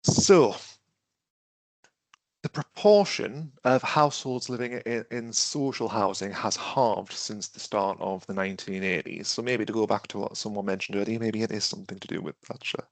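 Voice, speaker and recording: A man with a cough leads a webinar in a gravelly voice, speaking close to a dry-sounding computer mic. He talks in a conversational way, restarting phrases and asking his listeners questions as he thinks aloud. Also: on the low side, normal speed, dry recording. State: has a cough and is losing his voice. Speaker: webinar trainer. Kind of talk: interactive online training talk.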